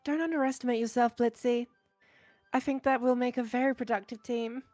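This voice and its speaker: Woman voicing a shy, high-voiced anxious schoolgirl. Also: steady tone, mellow, no echo, faint music behind, close to an everyday voice. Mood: shy, earnest. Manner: hesitant, halting. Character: shy, anxious, soft-spoken teenage schoolgirl. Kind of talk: visual novel voice acting.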